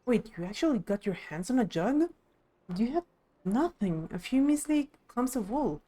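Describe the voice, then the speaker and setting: A woman's voice: a little high, very animated, normal speed, dark tone. A woman reading video game dialogue aloud expressively on a live stream.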